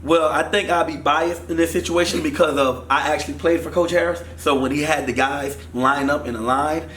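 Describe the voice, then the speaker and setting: A man in his thirties from Miami, a football coach talking in a casual interview, animated. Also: on the high side, a little fast. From Miami, Florida. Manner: animated, gestures while talking. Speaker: football offensive coordinator. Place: studio set with sponsor backdrop. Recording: echoey room with faint noise.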